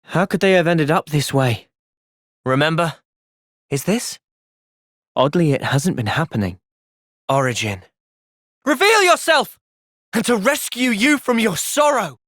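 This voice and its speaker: Young male game hero, steadfast, compassionate, wide-ranging pitch, very dry close sound. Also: big pitch swings, measured pace, long pauses, a bit bright, no room sound, exaggerated voice. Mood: thoughtful, steady, then urgent, defiant. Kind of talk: video game cutscene voice acting.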